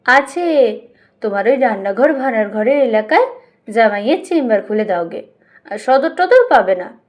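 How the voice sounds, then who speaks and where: A woman narrator plays a stubborn younger brother-in-law in an audiobook tale. He is scornful and blunt, brushing others off in a high-pitched voice. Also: lively intonation, normal speed, natural voice. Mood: mocking. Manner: mocking, blunt, dismissive.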